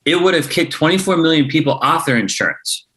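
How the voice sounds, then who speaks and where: A man's voice: a little high, expressive, a little fast, a bit bright. A man acting an argumentative, indignant progressive son at a family dinner, incredulous and combative, in a comedy table read.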